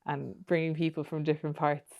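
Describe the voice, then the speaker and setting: A young woman hosting a podcast, her voice very low and mellow. She asks long, chatty, rambling questions full of "kind of" and "you know." She speaks into a studio mic. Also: flat delivery, fairly quick. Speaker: podcast host. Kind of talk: casual interview chat.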